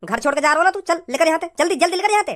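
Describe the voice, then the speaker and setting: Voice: very high-pitched, expressive, rapid-fire, a bit bright. A performer voicing an angry, scolding village father in a comedy cartoon, with a Braj accent and a dismissive, repetitive nagging manner. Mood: irritated. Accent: Braj, western Uttar Pradesh.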